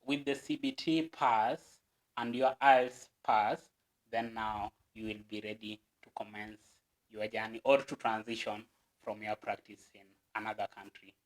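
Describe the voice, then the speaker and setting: A man's voice: lowish voice, steady tone, normal speed, low-quality recording. A Kenyan man, a guest, explaining a process earnestly and at length, with stammered restarts.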